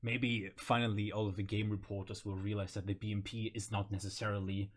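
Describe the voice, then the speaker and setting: A man's voice: on the low side, flat delivery, brisk. A man presenting game voice lines in casual banter, playful and given to explaining.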